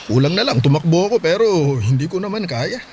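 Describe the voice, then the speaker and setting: A man's voice: on the high side, big pitch swings, fast talker, darkish tone, a little hiss or hum. A man playing a hardworking middle-aged father in a Filipino radio drama, plain-spoken and reassuring, with a Filipino accent. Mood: weary, easygoing. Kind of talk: radio drama acting in Tagalog.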